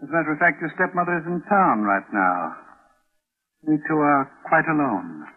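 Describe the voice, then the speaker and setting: A man's voice: on the high side, expressive, normal speed. An actor playing a menacing, self-justifying middle-aged stepfather in an old-time radio thriller, insistent and coldly formal. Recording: tinny telephone-like old radio recording.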